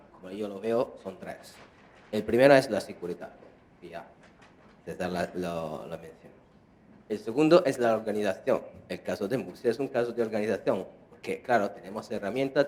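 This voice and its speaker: Italian-accented man lecturing in halting speech. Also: on the low side, slight background noise. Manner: halting, many fillers and restarts. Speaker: conference speaker. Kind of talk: conference lecture.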